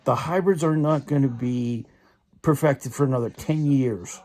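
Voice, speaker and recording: A man's voice: mid-range voice, measured pace, dark tone. A young man in a casual opinion rant, rambling with many restarts and repeated fillers. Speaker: vlogger.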